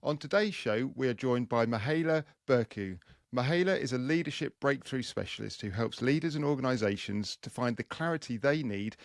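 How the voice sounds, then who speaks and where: A middle-aged British man speaks as a podcast host in a conversational way. His voice is mid-pitched with noticeable creak at the edges. The sound is close and dry, with hardly any room. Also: dry recording.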